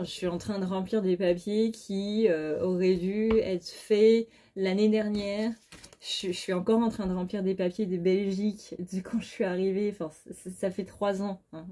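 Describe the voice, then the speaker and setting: A woman's voice: mid-range voice, warm tone. A very tired woman giving a casual, chatty tarot reading, speaking informally and bluntly with mild swearing. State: very tired, short of sleep. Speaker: tarot card reader.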